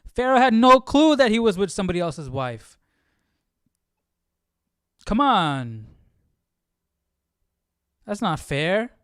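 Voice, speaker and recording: A man's voice: high-pitched, lively intonation, slow pace, long pauses, fairly bright tone, clear, resonant tone, no room sound, clean studio sound. A man hosting and reacting casually to a reading, with exclamatory, conversational asides. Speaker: host.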